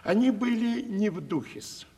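An old-fashioned household servant in a vintage radio drama, fussy and respectful. His high voice bobs up and down as he hesitates, ringing in a reverberant space. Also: animated, unhurried, mellow. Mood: respectful, hesitant. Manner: obsequious, hesitant servant speech. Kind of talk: theatrical 1970s Russian radio play acting.

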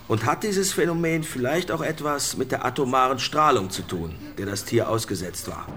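An actor playing a composed news anchor in a radio drama, asking questions in a formal, measured, polite way. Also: male voice, medium pitch, lively intonation, background noise, close to an everyday voice. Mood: serious, inquiring. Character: composed male news anchor questioning experts on a monster disaster. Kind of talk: scripted radio-drama newscast interviewing.

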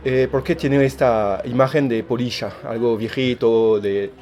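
A man's voice: medium pitch, fairly even intonation, unhurried, a little mellow, slight background noise. A man from France with a French accent, a tango DJ answering radio interview questions in a hesitant way, repeating words often. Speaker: tango DJ and milonga organizer.

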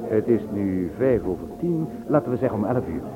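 A man's voice: fairly low pitch, sing-song, fairly quick, over a noisy crowd. An actor playing a charming, impulsive man who courteously tells of his romance in a Dutch radio play. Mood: gallant. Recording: tinny, band-limited old radio recording.

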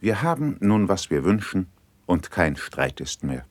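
An actor playing a shrewd courtly diplomat in a classical verse radio play, formal and deferential in manner. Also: male voice, low voice, sing-song, a little slow, no echo. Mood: gratified, deferential. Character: shrewd, courtly male diplomat serving a prince.

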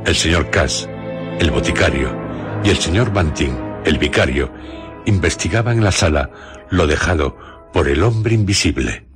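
Un hombre narra un radioteatro con un texto literario leído. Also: male voice, sits low, lively intonation, moderate pace. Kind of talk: scripted dramatic narration. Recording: clear music behind voice.

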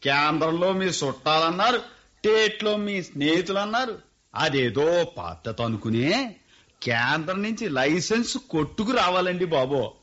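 A man's voice: fairly high pitch, lively intonation, measured pace, fairly bright tone. A man playing a sly, jolly village moneyman. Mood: sly banter. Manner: boisterous, laughing, rural dialect. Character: shrewd rustic rich man, jovial and sly. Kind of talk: radio play acting. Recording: dull lo-fi radio recording.

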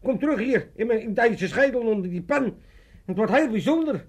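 An actor playing an excitable, self-important older father in a comic radio play, rambling and stammering pompously. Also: extremely high pitch, normal speed, slightly rough, exaggerated voice. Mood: excited, pompous. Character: excitable self-important old Amsterdam father, dreaming of politics.

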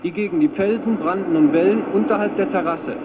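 A man's voice: high-pitched, a little fast, very noisy. An actor playing an earnest, naive young man speaking a ceremonious recorded message in a radio drama, through a narrow tape filter. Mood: proud announcing. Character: earnest young Chilean man, devoted admirer of a poet.

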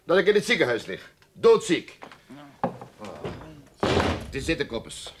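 Casting: shifty, exasperated agency boss for radio drama; higher-pitched, swooping delivery, full of bluster and sarcasm. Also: male voice, sing-song, a little slow, a little hiss or hum. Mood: bossy, exasperated. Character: blustering, money-grubbing, lying detective agency boss. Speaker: actor in a radio play.

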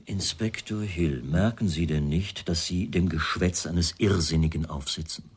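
An actor playing a wry, defensive writer suspected of murder in a German radio crime drama, answering in a pointed, evasive way. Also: male voice, sits low, measured pace, breathy edge, exaggerated voice. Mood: sardonic.

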